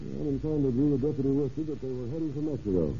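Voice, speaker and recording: An actor playing a brisk, businesslike police investigator in a vintage radio drama, clipped and deliberate. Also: male voice, mid-range voice, warm tone, close to an everyday voice. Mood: businesslike, pondering. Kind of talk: 1940s radio acting. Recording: tinny, noisy old radio recording.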